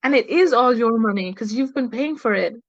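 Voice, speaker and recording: A woman's voice: fairly high pitch, animated, fairly quick. A young woman hosts a casual podcast chat, talking freely and often restarting mid-sentence. Her voice comes through slightly dull and lo-fi, with a dry, close sound and no room echo. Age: young adult. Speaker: podcast host.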